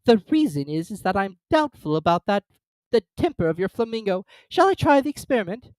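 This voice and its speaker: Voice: medium pitch, very animated, brisk, darkish tone, no echo, voice pushed up, natural voice. A reader voicing an ugly, fawning old duchess who turns everything into a moral, in a gushing, sing-song tone.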